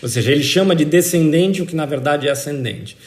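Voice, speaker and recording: A man's voice: fairly high pitch, brisk, darkish tone. A man with a Brazilian accent explaining law as an interviewed expert, in an emphatic, didactic way that repeats key points for stress. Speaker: citizenship expert being interviewed. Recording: roomy, echoing sound.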